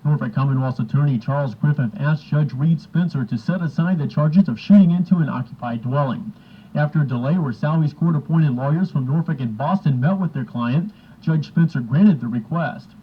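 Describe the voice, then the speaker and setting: A man's voice: fairly high pitch, brisk, slight background noise. A man working as a radio reporter, reading scripted news and sports in an old lo-fi radio recording. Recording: dull lo-fi old radio aircheck.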